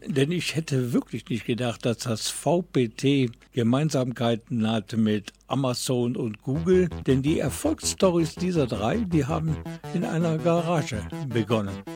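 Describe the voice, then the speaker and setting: A man's voice: medium pitch, unhurried, darkish tone, dry recording, faint music behind. A man presenting a culture magazine, linking segments in an upbeat, amused way. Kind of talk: presenter link to camera.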